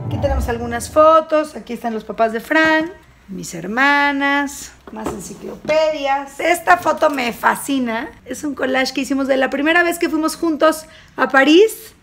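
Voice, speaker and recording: A woman's voice: high voice, fairly bright tone. A woman in her thirties giving a chatty, upbeat tour of her home, talking into a handheld camera as she walks from room to room. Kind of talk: casual house tour walk-through. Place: rooms of a house, indoors. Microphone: handheld camera, close, moving. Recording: echoey rooms, clear background noise.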